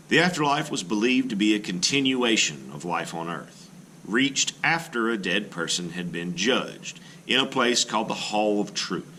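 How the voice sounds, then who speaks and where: A man reading an art lesson aloud as a teacher, deliberate and emphatic, pausing between phrases and explaining as he goes. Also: on the low side, sharp tone, a little hiss or hum. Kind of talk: read-aloud lesson narration with brief explanatory asides.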